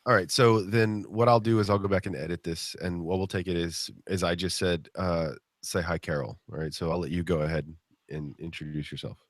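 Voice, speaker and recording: A man's voice: deep voice, fairly even intonation, brisk, no echo, clean studio sound. A man hosting a podcast interview, congested from a cold, upbeat and joking with plenty of fillers. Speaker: podcast host.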